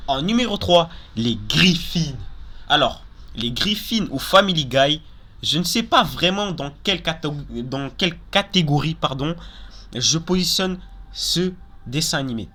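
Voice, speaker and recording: Bright-voiced young man giving casual opinions. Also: a little high, animated, a little slow, faint music behind. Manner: informal, opinionated, repeats and qualifies himself. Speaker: video host. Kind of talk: casual opinion countdown commentary.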